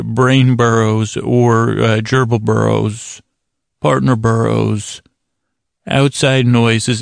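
Man, very gravelly fry, close dry sound, halting false starts, rambling bedtime podcast host, self-deprecating. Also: mid-range voice, dry recording. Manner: meandering, silly, self-deprecating tangents.

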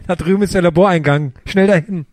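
A man's voice: high voice, fast, no echo, studio quality, natural voice. A man playing a cheerful, goofy boy detective in a comic radio play. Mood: eager, silly.

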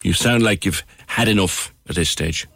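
Deep-voiced Irish radio host, close and dry. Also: male voice, moderate pace, vocal fry, fairly bright tone, breathy edge, no echo. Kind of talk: current affairs talk and phone interview.

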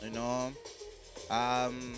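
Male, mid-twenties, mid-pitched, podcast guest from Congo. Loose, slangy conversational delivery with music behind. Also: monotone, very slow. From Congo, grew up across Africa. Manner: slangy, frequent "you know what I mean" tags. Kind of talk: casual interview chat.

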